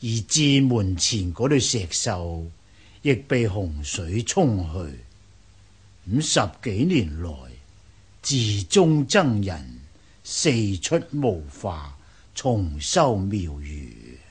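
An actor playing a courteous old Buddhist abbot in a radio drama, with a husky voice, measured dignity and a Hong Kong Cantonese accent. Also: male voice, on the low side, big pitch swings, full character voice. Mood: calm, courteous. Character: courteous old Buddhist monk, head of a temple.

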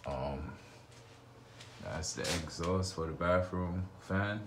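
A man with a very deep voice talks slowly and loosely, rambling as he walks through his unfinished basement. He records on a handheld phone, with a faint hum of room noise behind him. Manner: relaxed, rambling, informal speech. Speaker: homeowner showing his basement renovation. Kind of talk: casual walkthrough narration. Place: unfinished basement under renovation. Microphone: phone camera held while walking.

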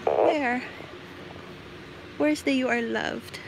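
A woman from the Philippines chatting casually on a live shopping stream, reading out prices and giving shout-outs as she walks around a store. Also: sits high, steady tone, extremely slow, creaky. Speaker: livestream shopping host. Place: inside a large retail store. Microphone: handheld phone while walking the aisles. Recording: noisy shop floor phone livestream.

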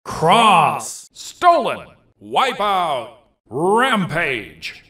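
Big dramatic fighting game announcer, highish voice, super animated swoops, echoey, bombastic short callouts. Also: male voice, recorded from a distance, exaggerated voice. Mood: bombastic, hyped. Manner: theatrical, drawn-out, emphatic delivery.

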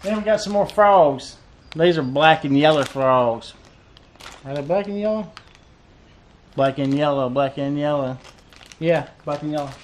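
Man, 30s, slightly high voice, loose rambling vlog delivery with lots of filler, desk camera, faint noise. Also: a little slow, pauses often. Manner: rambling, repeats phrases, many fillers. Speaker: fishing vlogger. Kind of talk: casual unboxing chat. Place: cluttered tackle room indoors.

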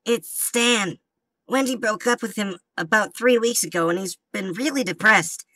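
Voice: mid-range voice, moderate pace, bright, forceful tone, no room sound. A performer voicing a worried, loyal cartoon schoolboy in an amateur comic dub, earnest and anxious.

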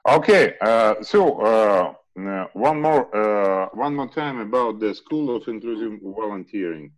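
A man hosting an online webinar in English, speaking haltingly with many fillers and restarts. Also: on the low side, animated. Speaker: webinar host. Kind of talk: hesitant online meeting hosting. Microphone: video call. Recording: muffled video call audio.

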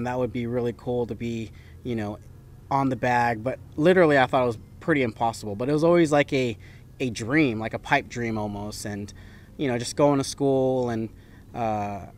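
A young adult man born in the Philippines, a professional golf caddie answering interview questions in a relaxed, rambling way. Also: medium pitch. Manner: relaxed, talks in loose run-on phrases with fillers. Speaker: PGA Tour caddie, guest.

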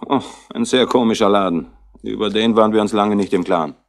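An actor playing a matter-of-fact junior detective in a radio crime drama, blunt and faintly disdainful. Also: male voice, fairly low pitch, brisk, lightly acted. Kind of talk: 1960s German radio crime drama acting.

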